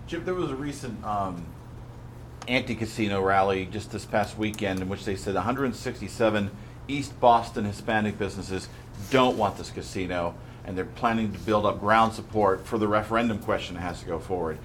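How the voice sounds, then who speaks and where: A male radio reporter puts a pointed question to a speaker at a press conference. His voice is low. Faint background noise sits under the recording. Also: normal speed.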